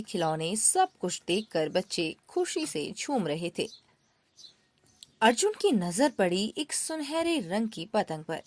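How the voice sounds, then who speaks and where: Animated, slow-paced woman storyteller. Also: mid-range voice. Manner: expressive, addressing children directly. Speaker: storyteller, host. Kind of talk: scripted children's story narration.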